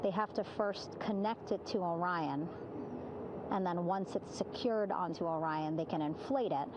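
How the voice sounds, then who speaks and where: A woman's voice: lowish voice, measured pace. A woman giving live event commentary from aboard a ship at sea. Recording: loud vehicle-like ambient noise.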